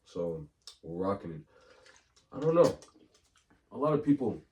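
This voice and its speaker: A hoarse man with a low, dark voice hosts a loose, slangy podcast chat, often repeating himself. He sits back from the mic, and faint room noise runs under him. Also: big pitch swings, a little slow, distant mic. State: hoarse from a cold. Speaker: podcast host. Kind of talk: casual chat.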